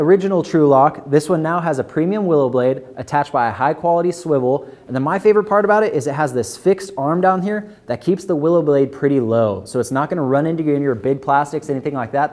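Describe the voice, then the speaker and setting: A man's voice: fairly high pitch, a little mellow. A young man presenting new fishing tackle in an upbeat, salesy rundown, recorded indoors in a tackle store on a lapel mic. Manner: enthusiastic, salesy, quick filler-laden delivery. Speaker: tackle shop product presenter. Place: indoor tackle store aisle with a wall of lures.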